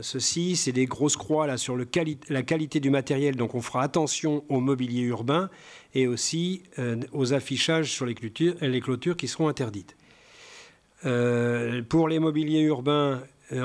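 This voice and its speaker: Halting man presenting a council report. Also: mid-range voice. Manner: halting, hesitant delivery with frequent searching for words. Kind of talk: formal council presentation, semi-improvised from slides.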